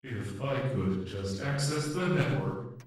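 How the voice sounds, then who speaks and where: Echoey, slow-spoken man as an anxious male AI. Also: medium pitch, lightly acted. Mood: stunned, subdued. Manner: measured, formal, articulate, hesitant. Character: anxious, polite, humanlike male artificial intelligence awakened after decades. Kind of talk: emotional audio roleplay drama. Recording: echoey, distant-sounding room.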